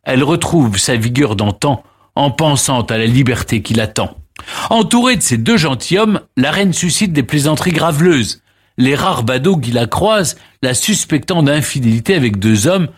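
A man hosting a radio history show, narrating past events in a lively, theatrical way with emphatic pauses. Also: medium pitch, slightly rough. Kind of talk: dramatic historical storytelling.

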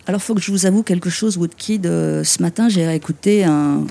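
A man hosting a radio interview, chatty and relaxed, with frequent fillers. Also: high-pitched, fairly quick, creaky. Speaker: radio host. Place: outdoors in a city street.

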